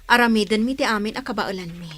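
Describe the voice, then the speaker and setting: A woman playing an earnest, grateful young student in an audio drama, speaking softly with a husky voice. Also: mid-range voice, fast, lightly acted.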